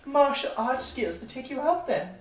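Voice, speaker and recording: A woman plays a feisty young fairy tale princess with a very high voice. She declaims with sassy confidence in a comic stage play. The sound is roomy and distant, with music underneath. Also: animated, normal speed. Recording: echoey stage, distant mic, music behind.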